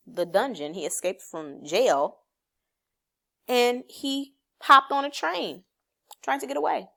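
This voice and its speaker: A woman's voice: a little high, creaky, a bit bright. Woman teacher leading a children's reading lesson. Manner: asks questions and answers them herself; encouraging, simple explanatory phrasing. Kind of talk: read-aloud lesson addressed to children.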